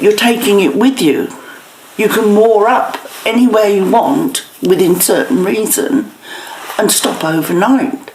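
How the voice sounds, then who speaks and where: A woman giving plain-spoken explanatory answers in an interview, in short, clear statements. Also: mid-range voice. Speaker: canal historian interviewee. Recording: echoey room with background noise.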